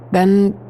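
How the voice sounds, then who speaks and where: A woman playing a telepath, speaking quietly and earnestly with steady focus. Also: slight background noise. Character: woman telepath, guilt-ridden but focused. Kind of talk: fan audio drama acting.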